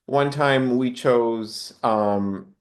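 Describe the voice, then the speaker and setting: A man's voice: mid-range voice, drawn-out words. A man from Honduras chatting casually as a podcast guest, in a reflective, rambling way with hesitant phrasing. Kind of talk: casual interview chat.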